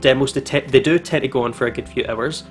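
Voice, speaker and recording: A man presents a gaming video in a chatty, informal manner. His voice sits at an average pitch as he gives casual commentary, with game music softly behind him. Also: quick pace. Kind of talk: casual let's play commentary and reading aloud.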